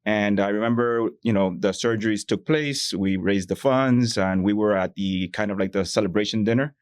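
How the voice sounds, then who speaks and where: A man from Miami talks warmly about his community work in a podcast interview. His voice sits a bit low and he strings sentences together with easy fillers. The recording is dry with no room sound. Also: moderate pace, no room sound. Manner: conversational, many fillers like "you know". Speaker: bank employee.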